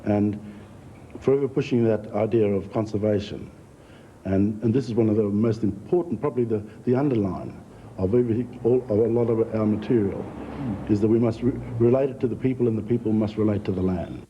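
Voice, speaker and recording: Interviewee: Australian man, middle-aged, very mellow low voice, measured and halting, slightly noisy recording. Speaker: theatre founder, former teacher. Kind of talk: reflective interview talk. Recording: muffled, faintly noisy recording.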